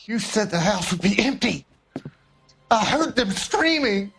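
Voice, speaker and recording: A man's voice: sits high, sing-song, unhurried, gravelly, a bit bright, slight background noise, full character voice. A male actor playing a tormented, guilt-ridden and unstable man in a video game, anguished and repeating himself. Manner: anguished, repetitive.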